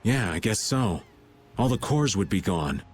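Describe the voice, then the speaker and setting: An easygoing middle-aged adventurer from a video game. He is wry, with a very animated delivery and faint noise behind. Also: lowish voice, a little slow. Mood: wry, musing. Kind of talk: English video game voice acting.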